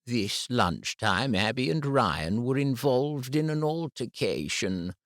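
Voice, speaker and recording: A man voicing a calm, formal female head teacher in an audiobook, speaking patiently and carefully. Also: mid-range voice, slow pace, no echo, studio quality, voice pushed up. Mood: measured.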